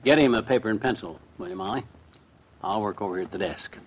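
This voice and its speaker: An actor playing a boastful, scheming middle-aged husband in a vintage radio comedy, folksy and needling. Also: male voice, lowish voice, fast talker, husky. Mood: challenging. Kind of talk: 1950s radio comedy acting.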